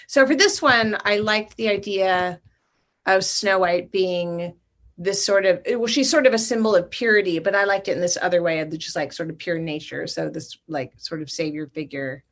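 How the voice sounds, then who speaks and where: A woman's voice: lowish voice, fairly bright tone. A middle-aged woman, a guest artist, talking thoughtfully and at length about her work over a video call. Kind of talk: casual interview talk.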